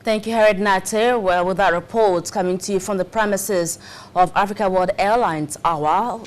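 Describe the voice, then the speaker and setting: Lower-voiced woman anchoring the news. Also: a little hiss or hum. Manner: measured, formal delivery. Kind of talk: studio news presenting.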